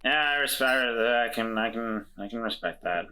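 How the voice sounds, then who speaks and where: A man's voice: medium pitch, normal speed, pushed and bright. A man playing a tabletop role-playing game in character, earnest and a bit hesitant, with wry asides. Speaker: player.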